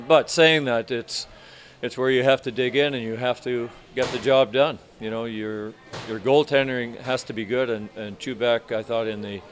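An older man in his sixties, a hockey coach answering reporters after a game, speaking in a measured, halting way with many restarts. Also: mid-range voice, steady tone. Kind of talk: postgame press interview. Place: arena media room in front of sponsor backdrop.

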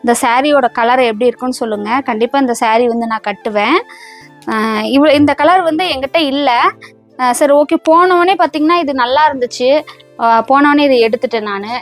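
Young woman, home vlog, chatty, lots of fillers, fairly high voice, quick talker. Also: soft background music. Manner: chatty, explains with many fillers. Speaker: vlogger. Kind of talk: casual vlog talk to camera. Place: small indoor room at home.

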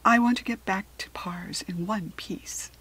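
A woman's voice: medium pitch, unhurried, fairly bright tone, slightly rough. A woman voicing a polite, chatty, slightly superior talking hare from another world in a children's storybook, articulate and formal. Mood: friendly. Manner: articulate, formal, self-assured. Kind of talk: children's storybook character reading.

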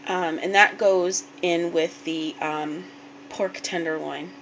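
A woman's voice: lowish voice, fairly even intonation, slow pace, a bit bright, dull audio. A young woman filming a casual cooking demonstration in her small kitchen, chatty and unpolished with plenty of ums and restarts. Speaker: home cook vlogger. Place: small home kitchen, indoors. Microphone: handheld camera or phone held close. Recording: home video with background noise.

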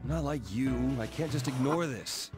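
A man's voice: mid-range voice, a little slow, music in the background, lightly acted. An actor with an American accent playing an anxious, whiny young man who gets flustered and exasperated in a game cutscene. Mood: anxious, defensive. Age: young adult. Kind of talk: video game cutscene acting.

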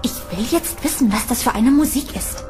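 A woman playing a curious, uneasy young tenant in a horror audio drama, with expressive delivery. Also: on the high side, normal speed, close to an everyday voice. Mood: curious, wary. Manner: expressive, talking to herself. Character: curious, uneasy young female tenant exploring a creepy house. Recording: music behind the voice.

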